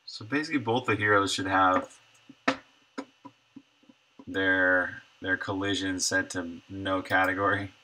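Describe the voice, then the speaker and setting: A man in his thirties with a deep, crisp-edged, bright voice. He talks casually to himself as he works, thinking aloud in short, broken-up phrases like a developer on a live stream. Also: fairly even intonation, a little slow, long pauses, slight background noise, low-quality recording. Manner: half to himself, in short fragments. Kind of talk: casual think-aloud commentary while working.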